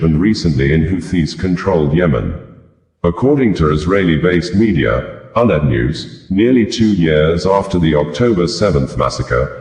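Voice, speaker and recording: A man's voice: deep voice, a little mellow. A man reading scripted news in a formal manner, in long sentences. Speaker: news reader. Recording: echoey room sound.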